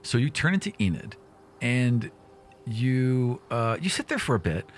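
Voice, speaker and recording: A man improvising narration as game master of a tabletop game, casual and halting, often repeating words. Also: mid-range voice, animated, slight background noise.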